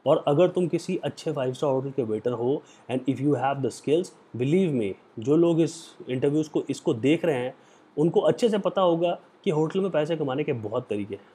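Earnest young Indian vlogger, husky voice. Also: male voice, fairly high pitch, lively intonation, warm tone. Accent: Indian English. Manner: earnest, lecturing, switches into slow deliberate English phrases. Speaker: hospitality career vlogger. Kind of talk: motivational talk to camera, Hindi mixed with English. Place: small indoor room, plain wall. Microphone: lapel mic.

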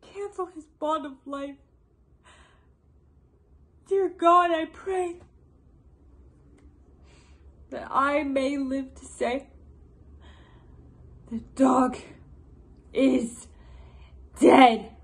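A high-voiced, bitter queen acted by a young woman in a Shakespearean stage monologue. She declaims her grief and vengeance slowly and with passion. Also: steady tone, exaggerated voice. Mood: desperate, cursing. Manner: declamatory, impassioned. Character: vengeful grieving old queen, cursing her enemies.

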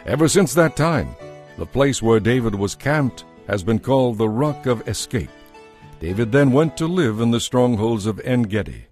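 Male narrator, mid-pitched, dramatized scripture storytelling with light music underneath. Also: a little mellow.

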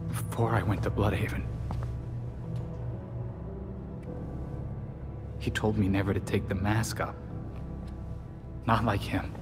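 A low-pitched young vigilante from a video game, acted by a man. He is wry but carries a burden, and speaks naturally. The sound has long reverb with music behind it. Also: level tone, normal speed, close to an everyday voice. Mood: burdened, earnest. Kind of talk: video game cutscene acting.

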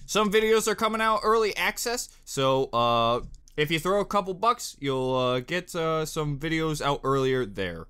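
Young male reaction host, lively swinging pitch, bright tone, joking and chatty. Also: normal speed, clean tone. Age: young adult. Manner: animated, joking. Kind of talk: casual reaction commentary. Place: small cluttered bedroom. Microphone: desktop condenser microphone.

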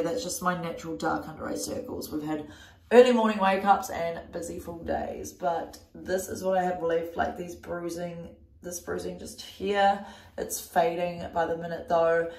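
A woman's voice: fairly low pitch, steady tone, moderate pace. A young New Zealand woman vlogging casually to her phone, her voice blocked up and nasal, her talk full of "like" and "um". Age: young adult. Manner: chatty, lots of "like" and "um". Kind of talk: casual vlog to camera. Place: small bathroom indoors. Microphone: phone camera at arm's length. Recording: echoey bathroom.

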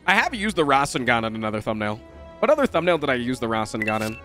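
A man's voice: medium pitch, fast, faint music behind. A young man with a nasal voice, swearing and joking through live gameplay commentary on stream from a room at home. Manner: profane, excitable, jokey.

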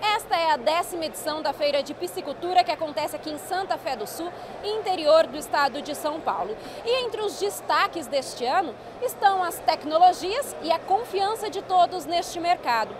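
A woman TV reporter with a Brazilian accent, narrating a news report in a measured, clear broadcast manner. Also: very high voice, expressive, noisy background. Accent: Brazilian Portuguese. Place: indoor trade fair hall. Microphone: handheld microphone.

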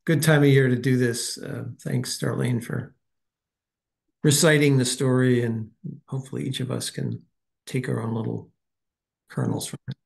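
Gravelly older man. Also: mid-range voice, steady tone, normal speed. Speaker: discussion participant. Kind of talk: casual reflective talk. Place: home room, video call. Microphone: computer mic on video call.

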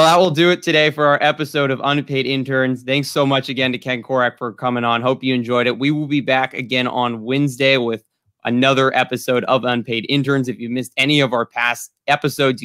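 A young man in his twenties hosts an interview in a polite, slightly halting way. His voice is mid-pitched, smooth and clear, with very little room sound on the video call. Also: steady tone, fairly bright tone, dry recording, clean studio sound. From San Francisco Bay Area, USA. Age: 20s. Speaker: student podcast host. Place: small room, indoors. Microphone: video call, close and dry.

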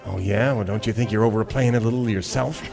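A man playing a surly, slow-witted, terse outlaw sidekick in a hammy old-time radio Western parody. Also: fairly low pitch, creaky, a little mellow. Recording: live stage with audience and music.

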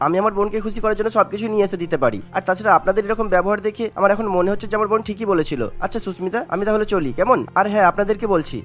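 A man's voice: sits high, a bit bright. A man voicing a protective, indignant young elder brother in a cartoon, earnest and emphatic.

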